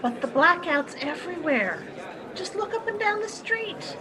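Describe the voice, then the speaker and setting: A woman's voice: very high-pitched, very animated, measured pace, heavily acted, rough recording. A woman playing a harried but polite cinema owner's wife in an old-time radio play. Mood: firm. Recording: crowd noise behind. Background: crowd of people.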